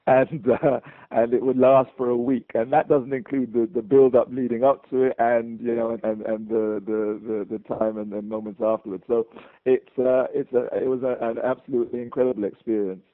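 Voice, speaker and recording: A man from London with a London accent, a guest in a casual interview, talking in a halting way with many restarts and chuckles. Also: medium pitch, little pitch movement, dry recording. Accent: London English. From England. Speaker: guest, bar owner. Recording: dry, tinny phone line.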